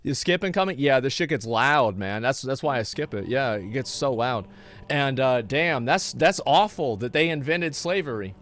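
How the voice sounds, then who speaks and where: A man's voice: medium pitch, animated, moderate pace, a bit bright. A man streaming a game live, rambling in casual, profane chat with many restarts.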